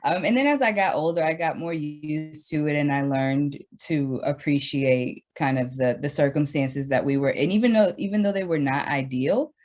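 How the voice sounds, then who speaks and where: A woman in her thirties, an educator from the Dominican Republic raised in Brooklyn, talks through her story in a radio interview with a lot of fillers, sometimes speeding up and sometimes slowing down. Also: deep voice, darkish tone. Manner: conversational storytelling with many fillers and restarts, speeds up and slows down. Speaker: educator, guest.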